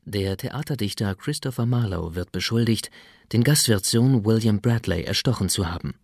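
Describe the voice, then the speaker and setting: Male announcer, lowish pitch, measured, factual, scripted radio play narration, dry studio sound. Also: breathy edge, no room sound.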